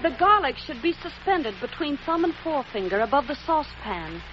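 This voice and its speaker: Spunky jobless young typist, brisk and eager, high and lively, faint hiss on lo-fi vintage radio. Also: female voice. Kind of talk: 1940s radio acting. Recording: dull old radio recording, hiss.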